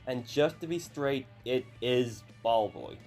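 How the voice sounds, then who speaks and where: A man's voice: medium pitch, takes it slowly, darkish tone. Young guy in his twenties, sounds younger than he is, chatty vlog commentary, some faint guitar music under him. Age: early 20s. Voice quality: youthful-sounding voice. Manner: chatty, apologetic asides. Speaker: YouTuber host. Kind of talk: casual video commentary.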